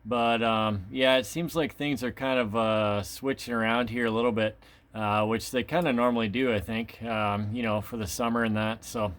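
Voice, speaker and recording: A man's voice: medium pitch, steady tone, moderate pace, fairly bright tone, a little hiss or hum. A man chatting casually as a radio operator, in an affable, somewhat hesitant way. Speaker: amateur radio operator, video maker. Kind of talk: casual radio contact chat.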